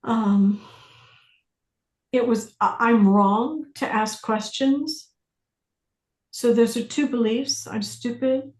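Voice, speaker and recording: A woman's voice: on the high side, darkish tone. Woman leading a group class, roomy echo. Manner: deliberate, pausing, repeating phrases for emphasis. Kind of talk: guided self-inquiry teaching with questions.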